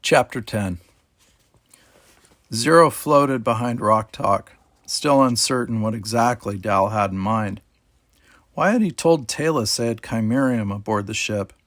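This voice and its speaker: A man's voice: fairly low pitch, measured pace, a bit bright, no room sound. A man reading an audiobook, narrating a scripted story. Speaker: audiobook reader.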